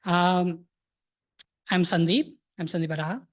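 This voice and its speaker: A man in his thirties from Nepal, giving a hesitant, soft-spoken talk about his thesis book to an audience. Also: sits high, unhurried. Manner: soft-spoken, hesitant with many filler sounds. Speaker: thesis presenter.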